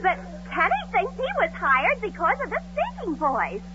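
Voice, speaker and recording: A woman's voice: very high voice, wildly swooping pitch, moderate pace, full character voice. A woman playing a naive, eager, inquisitive sidekick in 1940s radio comedy, asking simple, earnest questions. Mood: innocently curious. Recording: dull, noisy 1940s radio broadcast.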